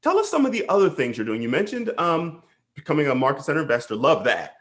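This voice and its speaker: A man's voice: fairly high pitch, sing-song, quick pace, fairly bright tone. A man hosting a casual interview as a coach, enthusiastic and affirming.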